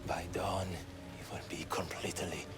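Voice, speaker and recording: An actor playing a grim, menacing man in a game cinematic, speaking in an ominous, deliberate way. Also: deep voice, little pitch movement, close to an everyday voice. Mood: cold, threatening. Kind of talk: dramatic game cinematic dialogue. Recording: loud music over the voice.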